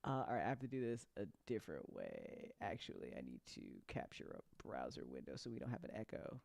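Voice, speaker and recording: A woman's voice: extremely low pitch, wildly swooping pitch, brisk, creaky, a little mellow, no echo. A woman hosting a live after show in casual chat, informal and repeating phrases for emphasis. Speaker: host of an audio drama after show. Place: close indoor mic on a live stream.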